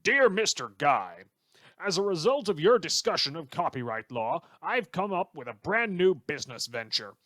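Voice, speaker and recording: A man's voice: on the high side, sing-song, normal speed, fairly bright tone, no echo. A man voicing a self-important crank listener, reading out his letter earnestly and formally in a comedic audio drama. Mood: smugly earnest. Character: self-important male crank writing to a radio show.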